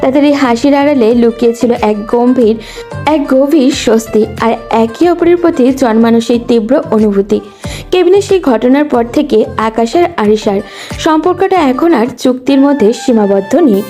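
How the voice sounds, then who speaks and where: High, mellow female story narrator. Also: lively intonation, normal speed, faint music behind. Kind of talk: scripted romantic story narration.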